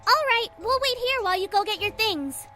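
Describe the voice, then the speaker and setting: Super high squeaky young gal, bubbly and curious, bouncy pitch, game music behind. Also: female voice, animated, brisk. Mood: curious, upbeat. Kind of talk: anime-style visual novel game acting.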